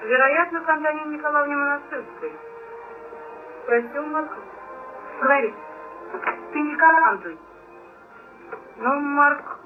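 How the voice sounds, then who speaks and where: A tinny, phone-thin film clip with clear music behind it. a stern, forceful man in an old wartime drama, speaking in a very high voice for a man. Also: measured pace, pauses often. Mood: commanding. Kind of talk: mid-century film drama acting in Russian.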